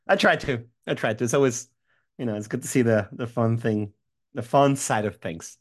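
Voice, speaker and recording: A man's voice: mid-range voice, normal speed. A man, chatting as a guest, friendly and rambling. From Mexico. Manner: friendly, rambling, many fillers and restarts. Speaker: guest, tech company founder. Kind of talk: interview chat.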